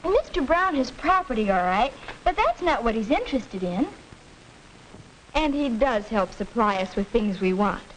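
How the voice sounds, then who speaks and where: A young American woman playing an earnest, argumentative high school girl, emphatic and didactic, in a 1940s educational film. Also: sing-song, normal speed, low-quality recording. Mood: insistent. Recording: hissy 1940s film soundtrack.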